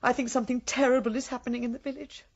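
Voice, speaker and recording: An actress playing an earnest, gentle village woman in a radio drama, flustered and hesitant, with an English accent. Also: high voice, level tone, very fast, muffled recording. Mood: distressed.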